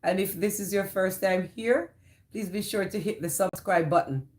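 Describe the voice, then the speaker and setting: A woman's voice: medium pitch, normal speed, darkish tone. A woman with a Jamaican accent hosting a casual livestream, greeting viewers by name and answering their comments. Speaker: livestream host. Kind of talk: casual live chat reading viewer comments.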